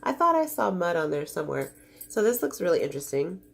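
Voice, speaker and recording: A woman's voice: on the low side, sing-song, quick pace, creaky. Congested woman chatting through an unboxing. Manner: chatty, gushing, easily disappointed. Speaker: beauty vlogger. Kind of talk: casual unboxing chat.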